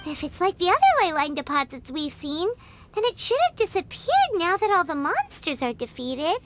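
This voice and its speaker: A woman voicing a tiny, chirpy, excitable female fairy companion in a video game, with a squeaky childlike voice and expressive, exclamatory delivery. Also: moderate pace, heavily acted. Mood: pondering. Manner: refers to self in third person, exclamatory, expressive. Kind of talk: video game character dialogue. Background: game music.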